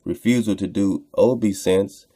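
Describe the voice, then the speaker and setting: A man's voice: fairly low pitch, unhurried, dark tone, breathy edge. A man hosting online radio, commenting casually on texts he reads aloud, repeating phrases for emphasis and addressing listeners in slang.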